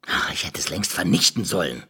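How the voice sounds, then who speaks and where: An actor playing an anxious, secretive presidential candidate in an intimate radio drama, with a husky, breathy voice. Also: male voice, low-pitched, expressive, brisk, pushed and bright, full character voice. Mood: uneasy, confiding.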